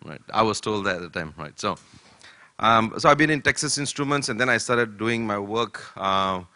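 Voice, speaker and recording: An older man from Malaysia giving a conference keynote talk, speaking slowly and deliberately with many fillers. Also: fairly low pitch, lively intonation, pushed and bright, no echo, clean studio sound. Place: conference hall.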